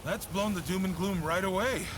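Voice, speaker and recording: A man's voice: high voice, moderate pace, background noise, lightly acted. A man playing a weary, brooding samurai leader in a video game, musing aloud with wry self-deprecation, over music. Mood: wry relief. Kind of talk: dramatic video game voice acting. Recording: dialogue over clear background music.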